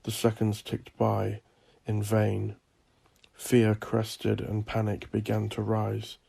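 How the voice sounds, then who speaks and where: A man reading dark fantasy horror as a scripted story, with a measured, deliberate delivery. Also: lowish voice, completely flat delivery, creaky, breathy edge. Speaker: audiobook narrator.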